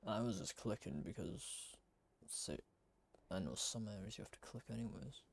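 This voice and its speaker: A man reacting casually to a video game, muttering low-key remarks to himself as he plays. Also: expressive, moderate pace, gravelly. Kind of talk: casual off-the-cuff gameplay reactions.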